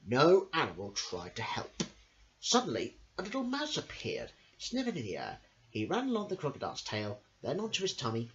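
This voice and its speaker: A man reads a children's story in an expressive, sing-song style. His pitch sits in the middle and swings widely from phrase to phrase. The home recording is dull and lo-fi. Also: moderate pace, a little hiss or hum. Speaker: amateur storyteller, channel host.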